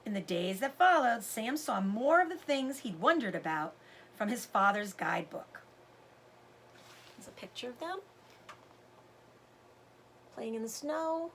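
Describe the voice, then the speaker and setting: Lady reading a kids novel out loud, bright expressive voice, noisy background. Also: on the high side, unhurried, vocal fry, long pauses. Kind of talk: expressive read-aloud narration of a children's novel.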